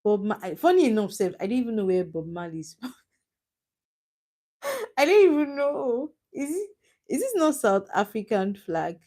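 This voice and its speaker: A woman's voice: medium pitch, dark tone. Chatty Nigerian woman on a live stream. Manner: chatty, teasing, laughs often, answers chat comments. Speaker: livestream host. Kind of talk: casual live stream chat with viewers.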